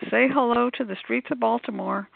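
A woman's voice: a little high, brisk, vocal fry. A woman hosting a talk show, chatting and explaining to listeners in a conversational way with playful asides. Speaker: radio show host. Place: live call-in audio show. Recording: narrow phone-like line.

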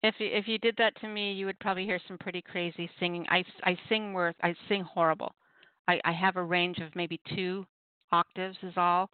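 A woman's voice: on the low side, little pitch movement, brisk, smooth, no echo. A middle-aged woman hosting a call-in poetry radio show, chatting casually with playful, self-deprecating banter and storytelling. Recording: muffled, dry radio call-in line.

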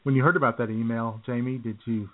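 A man's voice: mid-range voice, steady tone, fast. A man chatting casually as a radio co-host in a joking, teasing manner, over a narrow phone-like line. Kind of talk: casual chat.

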